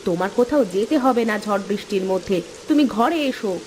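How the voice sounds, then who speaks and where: A woman's voice: mid-range voice, lively intonation, brisk, darkish tone, close to an everyday voice. A woman voicing a caring, questioning village wife in a cartoon, concerned and insistent. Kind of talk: cartoon dialogue. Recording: faint rain noise behind voice.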